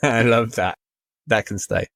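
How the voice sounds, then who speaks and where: A man's voice: medium pitch, fairly even intonation, a little slow, vocal fry, a bit bright, no echo. A British man co-hosting a podcast, chatting loosely and rambling with restarts and frequent laughs. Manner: rambling, self-interrupting, laughs often. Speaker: podcast co-host. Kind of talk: casual chat.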